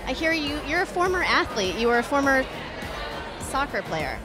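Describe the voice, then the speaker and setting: A woman's voice: high voice, sing-song, moderate pace. A woman hosting red carpet interviews, bubbly and inquisitive, speaking into a handheld mic. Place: indoor event red carpet with crowd and music. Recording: noisy event with loud music and crowd.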